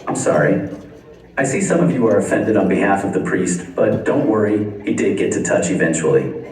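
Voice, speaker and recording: A young Canadian man performing a stand-up comedy set, delivering dry one-liners deadpan at a live venue. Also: low voice, moderate pace, noisy background. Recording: echoey venue from far off.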